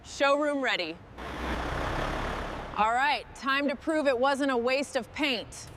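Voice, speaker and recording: A woman with a fairly high voice talks herself through restoration work. Her remarks are short, clipped and approving. Faint ambient and vehicle noise sits behind her. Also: slow. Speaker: restorer narrating own work. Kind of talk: short task commentary during vehicle restoration.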